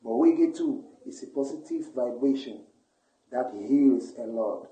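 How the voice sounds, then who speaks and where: A man's voice: medium pitch, wildly swooping pitch, unhurried, gravelly, dark tone. A man who makes reggae and hip hop speaks earnestly in an interview. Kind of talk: interview answer. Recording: echoey room.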